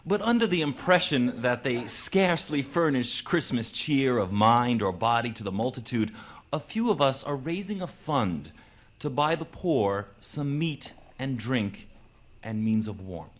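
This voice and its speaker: A polite, earnest gentleman collecting for charity. He speaks formally and courteously in a middle pitch with very lively inflection, as in a radio play. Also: unhurried. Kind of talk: live radio drama acting.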